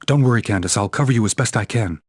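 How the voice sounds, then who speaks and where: A man playing a steady, protective secret agent hero in a video game. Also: lowish voice, fast talker, lightly acted. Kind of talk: video game cutscene acting.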